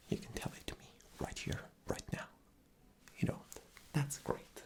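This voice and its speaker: A man's voice: fairly low pitch, creaky. A man doing an ASMR roleplay as an arrogant, impatient, rude boss, with a condescending, demanding manner. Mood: smug. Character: arrogant, impatient, rude male boss interviewing a job applicant.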